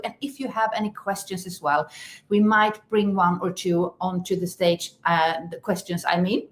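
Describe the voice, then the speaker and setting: A woman hosting a live stream podcast, welcoming her guest in an enthusiastic, effusive way. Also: medium pitch. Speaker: podcast host. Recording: echoey room.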